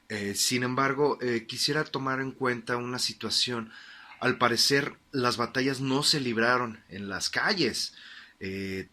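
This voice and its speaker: A man playing a formal history-programme host in a radio drama, interviewing with hesitant pauses. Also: mid-range voice, pushed and bright. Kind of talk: scripted radio interview in a fiction podcast.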